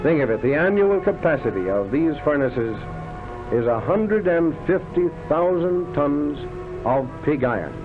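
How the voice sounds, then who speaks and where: Old 1930s newsreel guy narrating, showy and declamatory, really tinny film sound, loud music under him. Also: on the high side, very animated, a little slow. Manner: declamatory, florid showman-like delivery. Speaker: documentary narrator. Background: loud background music.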